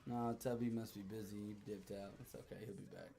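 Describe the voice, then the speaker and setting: Hoarse, rambling male livestreamer. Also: fairly low pitch, fairly even intonation, fairly quick, mellow. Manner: rambling, profane, joking with chat. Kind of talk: casual livestream chat.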